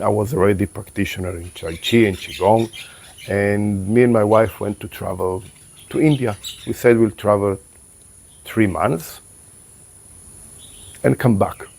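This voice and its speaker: A man with a very deep voice talks slowly and reflectively, a qigong teacher whose first language is Hebrew. His voice sounds slightly closed, and he pauses and restarts as he gathers his thoughts. Insects chirp quietly in the background. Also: slightly rough. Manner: calm, unhurried, with pauses and restarts. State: voice a bit closed after meditation. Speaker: qigong and tai chi teacher. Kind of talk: reflective spoken talk to camera.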